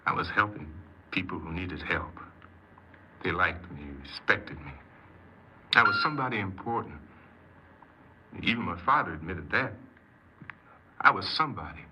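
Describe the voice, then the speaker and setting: An actor playing a troubled, defensive criminal confessing in a 1950s radio crime drama, speaking earnestly and haltingly as a proud hypnoanalyst. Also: male voice, low voice, lively intonation. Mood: wistful pride. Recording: very noisy, muffled 1950s radio recording.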